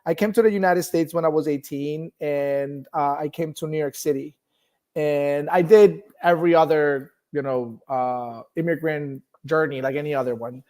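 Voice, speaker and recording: A man's voice: a little high, steady tone, moderate pace, a little mellow. A middle-aged man with a Colombian accent, a native Spanish speaker, telling his own story informally as an interview guest. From Bogota, Colombia. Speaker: guest, nonprofit executive.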